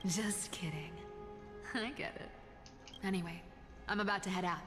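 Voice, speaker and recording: A woman's voice: mid-range voice, unhurried, lightly acted. Teasing, drawling trickster woman played by an actress. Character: teasing, breezy, roguish trickster woman from a fallen city. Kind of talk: video game voice acting. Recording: long reverb, background music.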